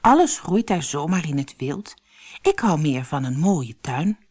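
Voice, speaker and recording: A woman's voice: low voice, big pitch swings, measured pace, a little mellow, slightly rough, no room sound, heavily acted. A woman voicing a small, wistful and proud female rag doll in a children's storybook, talking in simple childlike sentences. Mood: feigned indifference.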